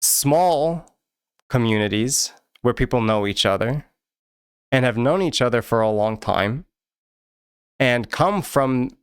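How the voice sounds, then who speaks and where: Casting: American male host, smooth clear voice, dry close-mic sound, earnest and candid commentary. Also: mid-range voice, expressive, takes it slowly, pauses often, no room sound. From United States. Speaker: YouTube channel host. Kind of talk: personal commentary to camera.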